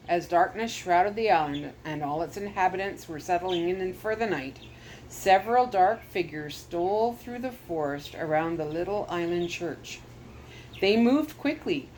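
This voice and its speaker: Woman story reader, expressive, mid pitch. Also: a little hiss or hum, rough recording. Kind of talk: reading a children's chapter book aloud.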